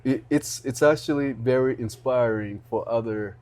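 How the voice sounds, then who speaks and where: A young man from Rwanda hosting a podcast, talking in an earnest, halting way with many restarts. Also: medium pitch, darkish tone. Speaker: podcast host. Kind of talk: casual interview chat.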